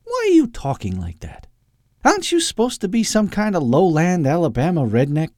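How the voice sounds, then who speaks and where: A man's voice: high-pitched, huge pitch swings, normal speed, a little mellow, no room sound, clean studio sound, pitched above the performer's own voice. A man voicing a brash, aggressive little man with a pushy, mocking way of talking, in an audiobook story.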